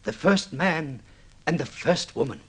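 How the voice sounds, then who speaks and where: An actor playing an excitable, obsessive newlywed man in an old-time radio drama, halting and stammering as he tells his story. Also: on the high side, husky, exaggerated voice. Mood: wistful, eager. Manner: halting, stammering repeats, swinging into boastful excitement.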